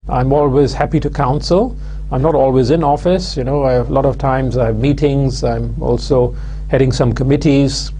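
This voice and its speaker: A man, giving a recorded university lecture in a measured, hesitant way full of "uh" and "um" fillers. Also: medium pitch, fairly even intonation, mellow, a little hiss or hum. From London, England. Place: studio with plain backdrop.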